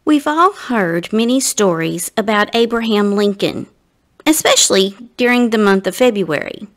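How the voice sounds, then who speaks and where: Female guest reader for children's storybook, unhurried, very lively intonation, speaks straight to young listeners. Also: on the high side, creaky. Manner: deliberate phrasing, addressing young listeners directly. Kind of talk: expressive children's storybook narration.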